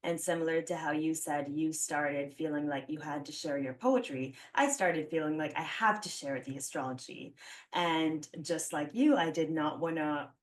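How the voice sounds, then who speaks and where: A woman in her thirties from the Philippines hosts a relaxed interview podcast with a low voice. She talks reflectively, sprinkling her sentences with "like" and "you know". Her voice sits a little back from the microphone. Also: moderate pace. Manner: chatty, warm reflections full of "like" fillers. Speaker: podcast host. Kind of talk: casual conversational interview. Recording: voice a bit distant from the mic.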